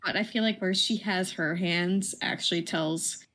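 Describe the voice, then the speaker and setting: A woman's voice: fairly low pitch, fairly even intonation, measured pace, a bit bright. A woman game streamer in a casual, conversational chat, commenting on a video game.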